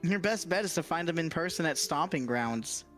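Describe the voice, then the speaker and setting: A woman's voice: on the low side, expressive, moderate pace, natural voice. A woman voicing a brash, teasing sidekick character in a visual novel, over music.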